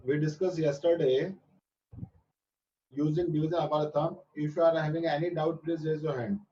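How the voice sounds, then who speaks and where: A man's voice: a little high, steady tone, moderate pace, mellow. A man with an Indian English accent teaching a maths class online, patient and repeating his instructions, sometimes switching into Hindi. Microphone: video call. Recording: muffled distant online-class audio.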